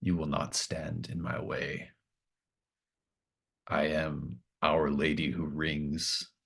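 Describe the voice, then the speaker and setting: A man voicing a defiant, commanding god with slow, solemn declarations in a tabletop game. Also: a bass voice, level tone, gravelly, a bit bright, breathy edge, full character voice. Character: godlike being speaking through distorted echoing speakers, defiant, commanding.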